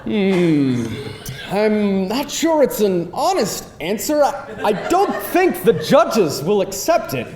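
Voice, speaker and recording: A man's voice: high-pitched, sing-song, takes it slowly, crowd noise, heavily acted. A man playing a cocky, playful guy who bosses and teases his date at a live screenplay table read. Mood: bossy, teasing. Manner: bossy, teasing game-show delivery. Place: indoor room before a live audience. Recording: echoey live room, faint noise.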